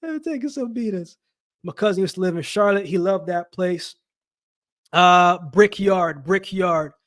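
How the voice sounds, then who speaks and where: A high-voiced man hosts a casual live chat in a dry, close-sounding space. He talks in loose slang and often says a phrase again for emphasis, as if speaking straight to his regulars. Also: unhurried, fairly bright tone, no echo. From Jamaica-born, raised in Canada. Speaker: livestream host. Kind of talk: casual live chat with viewers, boxing commentary.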